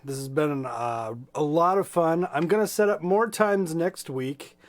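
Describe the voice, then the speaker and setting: A man's voice: a little high, unhurried. A middle-aged American man hosting a children's storytime stream with casual, friendly, rambling and hesitant talk. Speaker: volunteer storytime host for children. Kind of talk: casual livestream chat.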